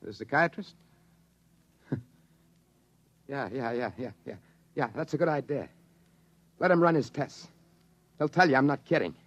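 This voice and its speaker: An earnest, shaken test pilot in a fifties radio drama. He speaks in urgent, halting bursts and insists on his story. The sound is muffled, like an old broadcast. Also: medium pitch, expressive, a bit bright. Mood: grimly insistent. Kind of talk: 1950s radio drama acting. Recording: dull, band-limited old radio recording.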